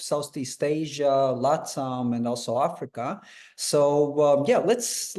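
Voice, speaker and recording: A man's voice: fairly high pitch, mellow. A man hosting a webinar over a video call, talking in a friendly, loose, conversational way. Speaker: webinar host. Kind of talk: webinar interview.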